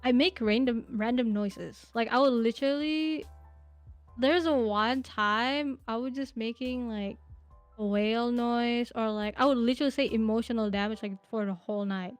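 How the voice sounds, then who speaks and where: A woman's voice: a little high, normal speed, faint music behind. A woman in her twenties, a podcast guest chatting casually, telling rambling stories with lots of fillers and giggles. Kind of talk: casual interview chat.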